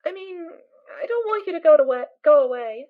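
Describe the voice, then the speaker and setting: A woman's voice: sits high, animated, fairly quick, phone-quality sound. A woman voicing a small, naive, cheerful filly pony in a fan audio drama, with a squealing, childlike manner. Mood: worried.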